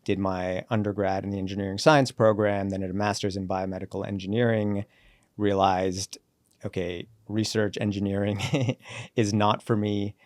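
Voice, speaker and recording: Low-voiced man telling his career story. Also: little pitch movement, darkish tone, dry recording. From born in Sri Lanka, raised in Canada. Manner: reflective, brisk storyteller who acts out quoted dialogue. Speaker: guest, company CEO. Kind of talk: relaxed podcast interview, storytelling.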